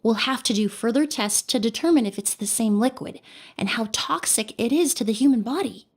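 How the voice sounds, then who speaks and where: An actress voicing a composed young perfumer who investigates with calm, polite, measured deduction in a game cutscene. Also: female voice, fairly high pitch, slightly rough. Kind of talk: video game cutscene acting.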